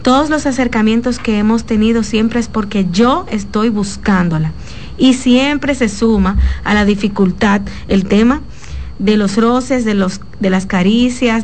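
A woman's voice: mid-range voice, a little mellow, a little hiss or hum. A woman hosting a talk show, asking questions and reading a listener's letter aloud in a chatty way.

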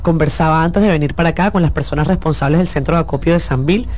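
A woman's voice: deep voice, quick pace, darkish tone. A woman journalist, a guest in a studio interview, explaining in earnest detail and with feeling. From Venezuela. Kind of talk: talk-show interview.

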